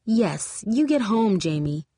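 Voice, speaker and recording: A woman's voice: fairly low pitch, sing-song, normal speed, breathy edge. Woman acting a spiteful, sneering teenage mean girl. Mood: sugary fake sweetness. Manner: huffy, hissing, sugary when faking. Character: spiteful, snarling teenage girl bully, fake-sweet.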